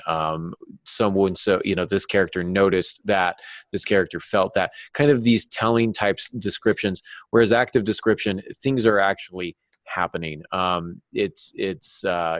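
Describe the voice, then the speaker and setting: A man co-hosting a podcast, explaining casually with halting false starts and small jokes. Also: deep voice, fairly bright tone, no echo. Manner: joking, hesitant false starts. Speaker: podcast co-host, novelist.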